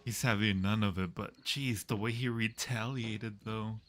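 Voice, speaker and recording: Slow, casual male livestream host. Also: on the low side, soft background music. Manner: relaxed, joking, drawn-out slang reactions. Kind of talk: casual movie watch-along commentary.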